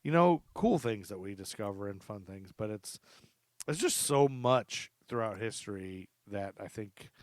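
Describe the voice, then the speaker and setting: A man's voice: on the low side, lively intonation, a little slow, no echo. A man hosting a history podcast, chatting casually and thinking aloud with lots of fillers. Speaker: podcast host. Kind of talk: casual chat.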